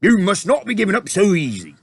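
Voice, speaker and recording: A man's voice: high voice, wildly swooping pitch, brisk, vocal fry, slightly rough, pitched above the performer's own voice, exaggerated voice. A man doing a kindly giant with jumbled speech. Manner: jumbled words and broken grammar. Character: huge gentle male giant, muddled grammar.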